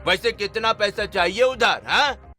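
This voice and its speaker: A man acts out a bullying contractor in a melodramatic story. His voice is high for a man and piercingly bright, full of scorn and mockery, with music behind it. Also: measured pace. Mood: scornful anger. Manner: sneering, mocking rhetorical questions. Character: harsh, scornful male construction contractor, greedy bully boss. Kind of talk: melodramatic Hindi story dramatization.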